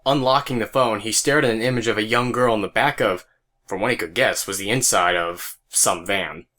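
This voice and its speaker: A man's voice: on the low side, normal speed, pushed and bright. A man narrating a scripted story as the narrator of an audio drama. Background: music.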